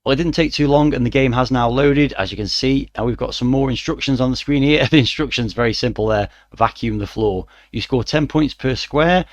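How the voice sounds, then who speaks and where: Croaky man reviewing old games. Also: mid-range voice, brisk, few pauses, no echo, lo-fi sound. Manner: dry, mildly wry asides with frequent "uh" fillers. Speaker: retro game reviewer. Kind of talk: relaxed spoken review.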